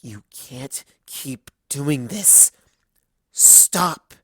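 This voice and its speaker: A man's voice: mid-range voice, lively intonation, drawn-out words, bright, forceful tone, husky, dry recording, voice pushed up, exaggerated voice. A man playing a fierce, hungry twelve-year-old filly, a hardened elder sister, with a cold and snarling manner in a story reading. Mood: furious.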